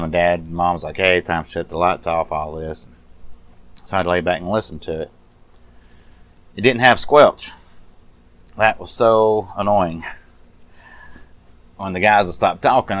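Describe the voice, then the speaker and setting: A man's voice: low-pitched, fairly bright tone, clean tone. A man giving a casual, unscripted hands-on show-and-tell as a hobbyist restorer, talking in a folksy, rambling way. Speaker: hobbyist radio restorer showing a project.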